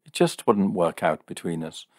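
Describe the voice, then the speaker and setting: A man voicing a calm, gently reproving young woman with teasing wit in an audiobook, soft-spoken and matter-of-fact. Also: deep voice, normal speed, no echo, clean studio sound. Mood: gentle, frank.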